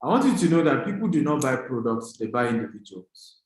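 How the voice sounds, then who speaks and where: A man's voice: mid-range voice, sing-song, brisk. A man with a Nigerian accent giving an emphatic motivational business talk, exhorting his online audience and repeating key phrases. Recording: very echoey, reverberant room.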